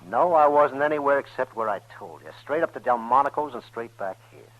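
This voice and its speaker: A man's voice: mid-range voice, lively intonation, fairly quick, lo-fi sound, natural voice. A man playing a cornered, shifty gambler who pleads and evades in an old-time radio western. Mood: defensive, nervous. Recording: old radio drama recording.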